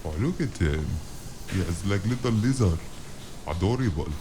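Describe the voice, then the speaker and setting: A man playing an amused, condescending underworld broker in a noir audio drama, with an unhurried, patronizing delivery. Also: sits low, animated, mellow. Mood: amused condescension. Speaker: voice actor. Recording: clearly noisy with ambient sound behind.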